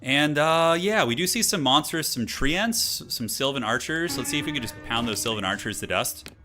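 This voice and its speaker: A man's voice: medium pitch, lively intonation, moderate pace, sharp tone. A man giving casual, slangy live commentary as he plays a strategy game, thinking aloud. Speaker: streamer playing a strategy game. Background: game music.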